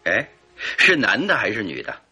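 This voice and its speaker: Methodical detective, deep voice, raspy edge, inquisitive, radio drama. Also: male voice, huge pitch swings, moderate pace, bright, forceful tone, faint music behind, heavily acted. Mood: probing, attentive. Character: inquisitive, methodical male detective questioning a client. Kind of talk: Mandarin radio drama acting.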